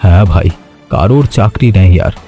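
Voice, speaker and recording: A man voicing a solemn, apologetic senior office employee, resigned and gloomy, in an animated story. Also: deep voice, moderate pace, highs cut off, soft background music.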